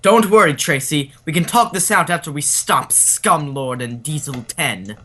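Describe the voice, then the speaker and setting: A theatrical teenage adventure hero acted by a woman, exclamatory and earnest. The low-set voice swings widely in pitch and takes its time over each line in a fan-made story reading. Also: lively intonation, measured pace, fairly bright tone, slight background noise.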